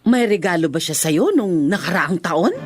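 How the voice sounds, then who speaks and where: An actress playing a chatty, inquisitive and reassuring friend in a radio drama. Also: female voice, lowish voice, expressive, moderate pace, slightly rough, faint music behind. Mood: curious.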